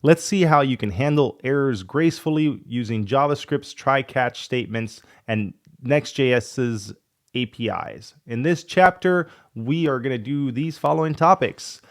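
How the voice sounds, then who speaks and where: A man's voice: medium pitch, unhurried. A man with a cold and a fading voice, talking casually through a coding walkthrough and muttering in frustration as he debugs. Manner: thinks aloud, frustrated muttering while debugging. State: losing his voice, has a cold. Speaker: coding tutorial host.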